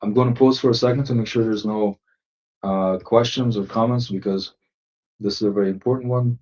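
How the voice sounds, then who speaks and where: A man presenting a software webinar, explaining settings step by step with many fillers and restarts. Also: fairly low pitch, fairly even intonation, a little fast. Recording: distant, muffled lo-fi audio.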